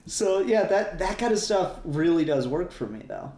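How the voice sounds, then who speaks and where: Guy with a highish voice rambling emphatically on a fan podcast, swears a lot, roomy sound. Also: lively intonation, normal speed, mellow. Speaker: podcast co-host. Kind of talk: casual fan discussion with swearing. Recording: roomy echo, faint music behind.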